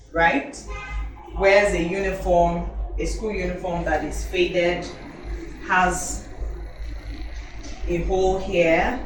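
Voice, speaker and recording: A woman's voice: on the low side, background noise. A woman, an elocution teacher, giving a talk to teenagers, asking them questions and repeating herself for emphasis. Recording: roomy hall from a distance, music behind.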